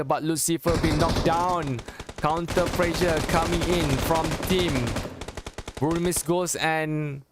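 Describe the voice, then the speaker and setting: A man from Malaysia with a Malaysian accent, non-native English, giving halting play-by-play commentary for a mobile battle game, repeating and restarting phrases. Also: fairly high pitch, a little hiss or hum. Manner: gets excited during fights. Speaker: esports caster.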